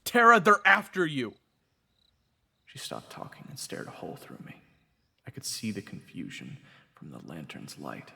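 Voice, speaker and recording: A man's voice: a bass voice, sing-song, moderate pace, creaky, a bit bright, echoey room, in a large hall. A man narrating a dramatic story in the first person as part of an audio roleplay.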